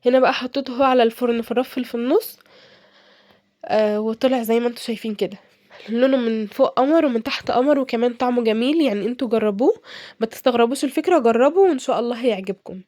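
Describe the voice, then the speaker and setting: Casting: young Egyptian woman cooking vlogger, stuffy from a cold, brisk and chatty, dry close sound. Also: fairly high pitch, mellow, no room sound. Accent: Egyptian Arabic. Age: young adult. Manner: chatty, many fillers and restarts. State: congested from a cold. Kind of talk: casual advice while cooking.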